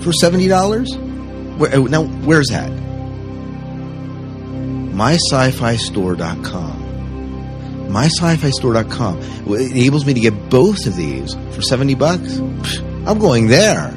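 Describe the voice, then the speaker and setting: A man's voice: medium pitch, big pitch swings, slow, pauses often, over music, voice pushed up. A man playing an exasperated penny-pinching everyman shopper in a hammy comedic ad skit, muttering to himself and getting incredulous. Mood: incredulous, eager.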